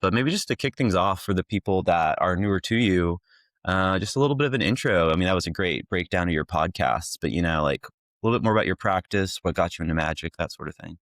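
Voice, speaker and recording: A man's voice: low-pitched, expressive, quick pace, a bit bright, no echo, clean studio sound. A man hosting a casual interview, encouraging and affirming toward his guest. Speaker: podcast host, interviewer.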